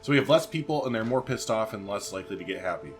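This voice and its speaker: A man's voice: fairly low pitch, expressive, fast, soft background music. A man doing casual let's-play commentary, chatty and informal, thinking aloud as he plays. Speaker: gaming video host. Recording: faint background noise.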